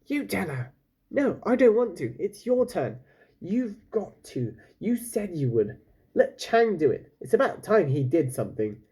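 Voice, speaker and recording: A man voicing timid, reluctant storybook pirates who bicker among themselves in urgent, hushed argument. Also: high-pitched, big pitch swings, a little slow, breathy edge, voice pushed up, exaggerated voice. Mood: nervous bickering. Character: squabbling, reluctant band of timid pirate rowers.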